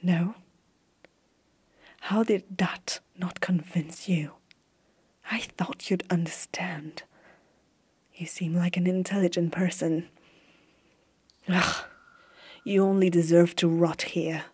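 A woman playing a stern, haunted warrior empress in a hushed, deliberate fantasy ASMR roleplay. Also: on the low side, fairly even intonation, slightly rough, full character voice. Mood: cold, disappointed. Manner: slow, hushed, deliberate dramatic delivery.

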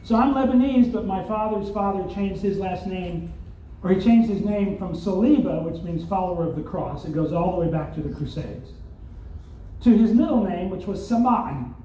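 A man's voice: high-pitched, animated, moderate pace, dark tone, a little hiss or hum. Older man giving a keynote in an echoey hall. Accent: Southern US. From Arkansas, US. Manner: conversational, rhetorical questions, wry asides. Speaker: keynote speaker. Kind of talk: conference keynote speech. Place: large hotel conference hall. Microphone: far phone camera in audience. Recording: distant echoey hall, dull audience phone recording.

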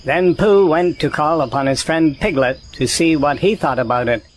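A man's voice: on the high side, moderate pace, slight background noise, close to an everyday voice. A man playing the narrator of a children's storybook audio drama, reading scripted narration. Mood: storytelling. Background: faint music.

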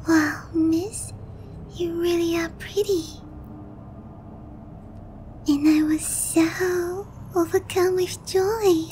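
A woman playing an obsessive young vampire princess in a slow, cooing, breathy half-whisper for an ASMR roleplay, sweetly menacing and possessive. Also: extremely high pitch, a little mellow, a little hiss or hum, heavily acted, poor audio. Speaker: roleplay voice actress.

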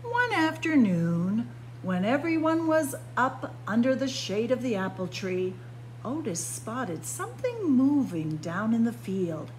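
A woman's voice: on the high side, sing-song, a little slow, a little hiss or hum, rough recording. A woman reading a children's picture book aloud like a story-time librarian, expressively, with dramatic emphasis on key words.

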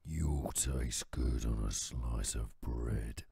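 A man voicing a huge, hungry storybook monster with a slow, menacing growl. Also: mellow, dry recording, clean studio sound, exaggerated voice. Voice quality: very deep gravelly growl.

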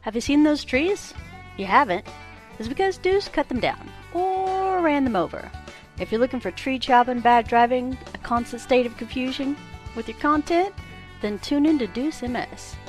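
A woman announcer delivers a scripted advert. Her voice sits a little high, with some music playing behind it. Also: animated, moderate pace. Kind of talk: scripted promo read.

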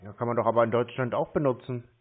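A man chatting casually on a phone call, stubborn, deadpan and slow to cooperate. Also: medium pitch. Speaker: prank call host, scambaiter. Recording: thin, narrow telephone line.

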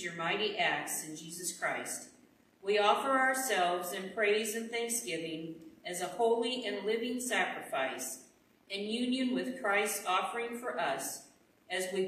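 A woman pastor reciting a communion prayer in a measured, solemn way, in a church sanctuary. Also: mid-range voice, a bit bright. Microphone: far room camera. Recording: echoey sanctuary from far off.

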